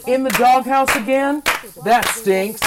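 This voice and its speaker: A woman playing a cheery male vase merchant in a parody radio commercial, salesy with deadpan asides. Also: high voice, takes it slowly, loud music behind the voice. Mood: cheery salesmanship.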